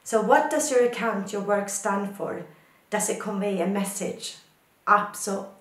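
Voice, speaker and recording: A woman's voice: medium pitch, normal speed, reverberant. A woman from Sweden, a photographer, answering questions in a reflective personal talk with thoughtful, poetic phrasing.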